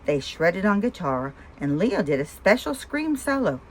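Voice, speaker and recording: A woman with a fairly low voice reads a children's picture book aloud. Her pitch moves widely as she tells the story and puts questions to young listeners. There is faint-to-clear noise behind her. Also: big pitch swings, unhurried. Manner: asks listeners simple questions between story lines. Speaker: storyteller and host of a read-aloud show.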